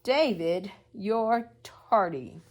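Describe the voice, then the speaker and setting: A woman voicing a stern schoolteacher in a children's picture book, giving slow, emphatic, scolding commands. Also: mid-range voice, animated. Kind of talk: picture-book read-aloud for young children.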